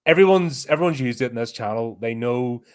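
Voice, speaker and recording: Male podcast host, mid-pitched voice, easygoing, hesitant, casual chat. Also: brisk, a little mellow.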